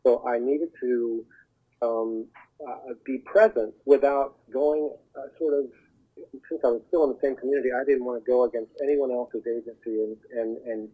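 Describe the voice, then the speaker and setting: A man speaking as a talent agent guest, giving advice in an earnest, halting, conversational way over the telephone. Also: mid-range voice, steady tone, breathy edge. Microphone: telephone call. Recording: muffled narrow phone line.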